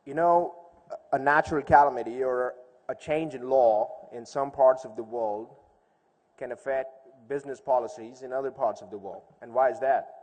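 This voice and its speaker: A man's voice: mid-range voice, steady tone, normal speed, darkish tone. Man giving a talk in an echoey hall. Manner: conversational, uses rhetorical questions. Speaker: conference speaker. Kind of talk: informal public speech with storytelling. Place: large hall, speaking to an audience. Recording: echoey hall with long reverb.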